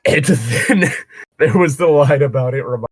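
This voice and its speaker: A man's voice: mid-range voice, moderate pace, breathy edge, faint music behind. A man co-hosting a casual fan fiction read-along, riffing with wry asides as he reads. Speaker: co-host of a fan fiction reading show.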